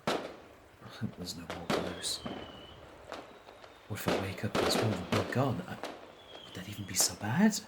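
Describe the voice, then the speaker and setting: A man playing an anguished, brooding young man in a short film drama, muttering and halting as he talks. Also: deep voice, heavy background noise. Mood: anxious, agitated. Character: anguished young man losing his colour vision. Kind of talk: short film drama acting, introspective monologue.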